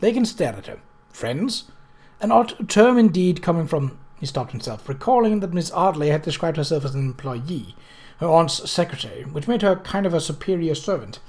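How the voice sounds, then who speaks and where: Higher-voiced man reading a novel aloud. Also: expressive, fairly quick, a little mellow. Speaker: audiobook reader. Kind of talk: scripted narration of a novel.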